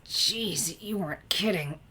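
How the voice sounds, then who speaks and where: A cool, guarded teenage girl, as a woman narrator plays her, with a low voice. She talks casually and wryly, understated but kind, in a storybook reading. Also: slow, bright, forceful tone, slightly rough, full character voice.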